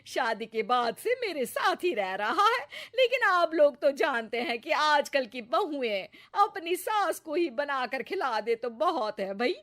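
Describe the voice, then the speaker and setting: Woman as brash, high-voiced old matchmaking sister. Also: sing-song, unhurried, few pauses, a bit bright, full character voice. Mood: chatty, scheming. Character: elderly groom's sister, brash, scheming matchmaker. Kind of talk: Hindi cartoon comedy story acting.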